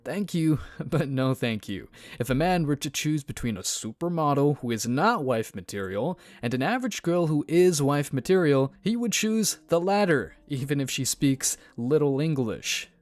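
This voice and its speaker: A man reading scripted commentary voice-over in a measured, sardonic manner. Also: fairly high pitch, lively intonation, darkish tone, no echo. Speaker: channel narrator.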